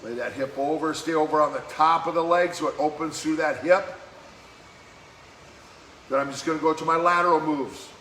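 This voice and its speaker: Slightly hoarse male fitness coach, echoey room. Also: fairly high pitch, fairly even intonation, a bit bright. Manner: encouraging, brisk exercise cues. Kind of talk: live-streamed workout cueing. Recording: echoey room with faint background noise.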